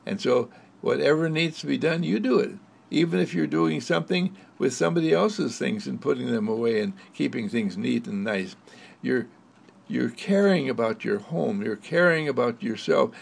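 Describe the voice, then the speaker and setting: A man voicing a gentle talking candle. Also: mid-range voice, expressive, moderate pace, creaky, slightly rough, heavily acted. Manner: soft, soothing, praising.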